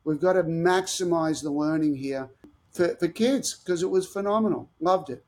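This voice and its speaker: An upbeat man with a fairly high voice talks through tennis tactics as a podcast guest. He explains eagerly and jumps between points. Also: moderate pace. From Australia. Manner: enthusiastic, animated explaining. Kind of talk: podcast interview.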